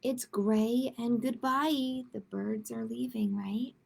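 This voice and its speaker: A woman reads picture books aloud for a children's storytime in a fairly high voice with a noticeably creaky edge. Her delivery is expressive and simple. She slips into chatty explanations and checks in with her young listeners as she goes. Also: slow pace. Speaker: library storytime host.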